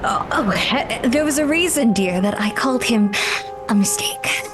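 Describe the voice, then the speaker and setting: A woman's voice: a little high, expressive, moderate pace, lightly acted. A woman playing a genteel, arch society lady in a tabletop game, refined and fond, with teasing endearments. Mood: gracious, arch. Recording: music behind the voice.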